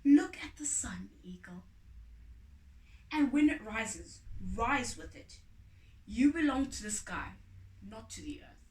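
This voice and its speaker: A woman's voice: on the high side, animated, slow pace, pauses often, a little mellow, roomy sound, far from the mic, rough recording. A woman voicing an earnest, insistent man from a storybook in an emphatic, proclaiming way. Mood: solemn, rousing. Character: earnest, insistent man urging an eagle to fly.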